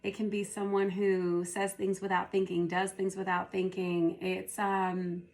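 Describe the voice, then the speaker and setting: A woman with a hoarse, gravelly voice talks through a tarot reading at an unhurried pace, thinking aloud as she goes. Her pitch stays level and flat, with little rise or fall. She sounds like she is losing her voice. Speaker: tarot reader. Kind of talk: casual spoken tarot reading.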